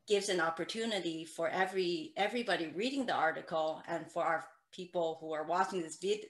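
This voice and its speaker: A woman's voice: on the low side, a bit bright. A middle-aged woman raised in Taiwan with a Taiwanese accent, an attorney speaking earnestly on a video call from home. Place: home room with piano. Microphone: video call webcam. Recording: echoey room.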